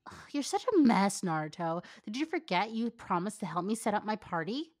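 A woman's voice: medium pitch, big pitch swings, a little fast, natural voice. Exasperated, scolding young woman, acted by a reader. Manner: nagging. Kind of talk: fan fiction reading.

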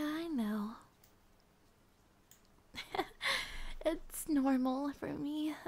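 Sleepy, affectionate student girlfriend for ASMR roleplay, played by a woman: high, soft, hesitant, unhurried delivery. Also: heavily acted. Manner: soft, drowsy, hesitant, giggly.

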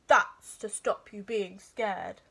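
A woman's voice: medium pitch, big pitch swings, takes it slowly, vocal fry, a bit bright, slightly rough, full character voice. Woman playing a big patient storybook bear. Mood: patient, coaxing. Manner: emphatic, reassuring. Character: big, patient, caring adult male bear.

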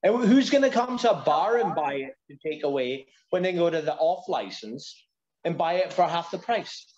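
A man's voice: on the high side, lively intonation, normal speed, darkish tone. A middle-aged man telling stories emphatically in a casual chat over a lo-fi video call. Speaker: guest, bar and venue owner. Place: stone-walled room in a bar.

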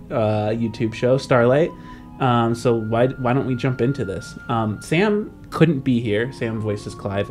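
A male podcast host with a mid-pitched voice chats casually about a game world. He thinks aloud in a hesitant way, with music playing behind him. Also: a little mellow. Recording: background music under the voice.

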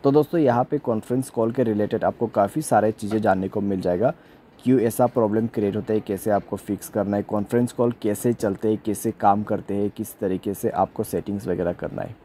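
A man's voice: on the low side, a little fast, mellow, slight background noise. A man giving a step-by-step phone troubleshooting tutorial as a voice-over in an instructional way. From India. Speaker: tech tutorial host.

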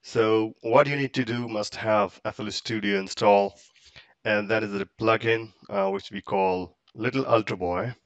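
Low-voiced man giving a tutorial. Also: steady tone, no echo, muffled recording. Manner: halting, step-by-step, non-native English phrasing. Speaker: tutorial presenter. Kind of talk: software tutorial, casual explanation. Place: close, dry indoor voice-over for a screen recording.

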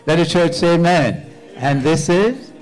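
A man's voice: on the high side, unhurried, faint music behind. An older man, a pastor, walking the pews of a church sanctuary with a handheld mic, greeting visitors by name and calling on the congregation to say amen. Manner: warm repeating of names, calls for amen.

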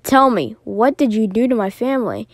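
A woman acting out lines as voiceover for an animated fan skit. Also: a little high, normal speed, no room sound, studio quality.

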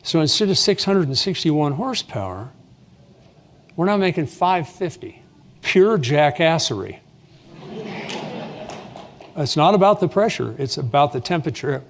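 A man presenting a technical seminar talk in an animated, wry, jokey way. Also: mid-range voice.

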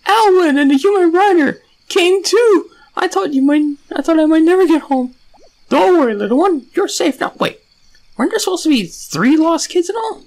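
Man putting on a squeaky, excitable little game creature. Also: normal speed, poor audio. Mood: squeaky, eager. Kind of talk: acted reading of game dialogue.

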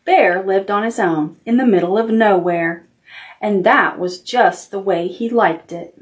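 A middle-aged woman leads a preschool storytime at an unhurried pace. Her voice sits at an average pitch, and she uses simple, encouraging phrases with plenty of repetition for small children. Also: roomy sound, recorded from a distance. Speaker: library storytime host for preschoolers. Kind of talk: children's storytime, instruction and read-aloud. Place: indoor room decorated with stuffed toys.

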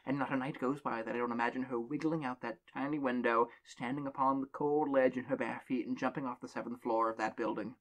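A man voicing a tired old woman at a dormitory desk, speaking slowly and gravely in warnings, read aloud for a horror story podcast. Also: medium pitch, fairly even intonation, lightly acted, poor audio.

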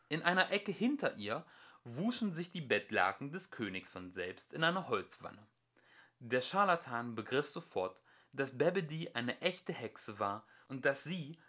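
Animated man reading a fairy tale. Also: medium pitch. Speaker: amateur audiobook reader. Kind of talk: expressive fairy-tale narration.

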